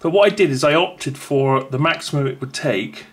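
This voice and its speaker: A man's voice: medium pitch, normal speed. A man casually demonstrating a small gadget at a table, rambling and correcting himself as he goes. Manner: rambling, self-correcting, chatty. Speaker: product reviewer. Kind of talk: casual unboxing demo. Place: indoors at a wooden table.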